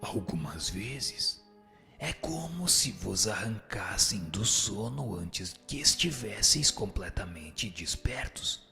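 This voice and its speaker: Radio play spirit played by a man: low, solemn, measured and didactic, with a crisp forceful tone. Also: big pitch swings, soft background music, heavily acted. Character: solemn male spirit answering questions. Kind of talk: scripted radio drama reading.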